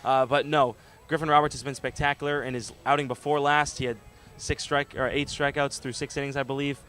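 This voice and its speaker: A man's voice: mid-range voice, flat delivery, a little fast, a bit bright. A man working as a color analyst on a sports radio broadcast, commenting conversationally.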